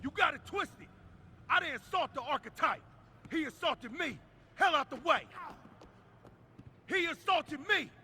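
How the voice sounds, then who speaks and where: A man's voice: extremely high pitch, animated, unhurried, pauses often, pushed and bright, a little hiss or hum, full character voice. A man playing a menacing, aggrieved wrestler in a confrontational, emphatic backstage promo. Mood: angry, threatening. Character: menacing, aggrieved male wrestler, hot-tempered bruiser.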